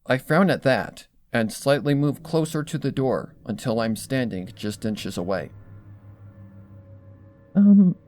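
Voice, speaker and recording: A man reading a scripted story aloud as narrator, in an expressive style. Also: medium pitch, moderate pace, few pauses. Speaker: fan fiction reader.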